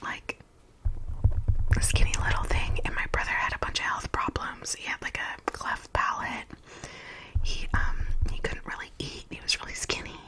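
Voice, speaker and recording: A young woman whispering a chatty, rambling makeup tutorial into a close mic, drifting into tangents and softly repeating words. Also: rough recording. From United States. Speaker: ASMR makeup vlogger.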